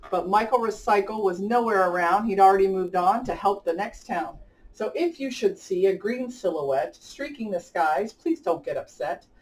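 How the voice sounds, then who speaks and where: A woman's voice: medium pitch, lively intonation, moderate pace. A woman speaks from a little way off the mic as a children's story-time host. She is enthusiastic and talks straight to her young audience, whether reading a picture book or chatting. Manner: enthusiastic, addressing children directly. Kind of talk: picture-book read-aloud and chat for children. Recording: distant from the mic.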